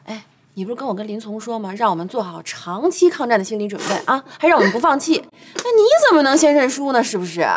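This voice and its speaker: Casting: young woman playing a coaxing daughter, high and lively, pleading. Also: background noise, close to an everyday voice. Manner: pleading, fast, soothing. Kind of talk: TV drama acting.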